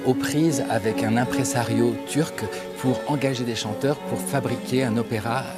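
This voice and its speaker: A man's voice: mid-range voice, fairly even intonation, normal speed. A man describing a stage comedy in a promotional clip. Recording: clip over loud music.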